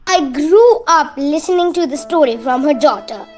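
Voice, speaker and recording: A woman's voice: high-pitched, animated, normal speed, a little mellow, soft background music. A woman playing a bold, fussy mother with a chatty, insistent manner in an amateur radio play. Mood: grave, defiant. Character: Doris, outspoken, fussy, bold village mother.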